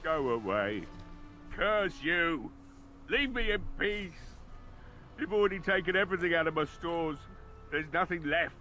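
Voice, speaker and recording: Panicky village blacksmith, tense bright voice, game music faint underneath. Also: male voice, fairly high pitch, animated, measured pace, long pauses. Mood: frantic. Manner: panicky, pleading, shouting through a door. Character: frightened grieving village blacksmith man. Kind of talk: video game voice acting.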